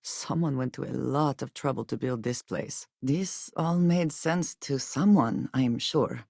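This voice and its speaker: An actress playing a guarded, solemn woman companion in a space adventure video game, speaking in formal, measured phrases. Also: extremely low pitch, gravelly, darkish tone, no echo, clean studio sound, heavily acted. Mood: calm, thoughtful. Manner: formal, measured speech without contractions. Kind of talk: scripted video game voice acting.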